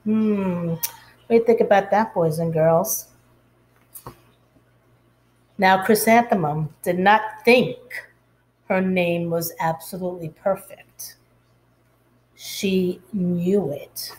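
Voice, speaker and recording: A woman reading a picture book aloud as a schoolteacher, expressively and deliberately, putting questions to her young listeners. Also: fairly low pitch, darkish tone, a little hiss or hum, poor audio. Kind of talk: expressive children's storytime read-aloud.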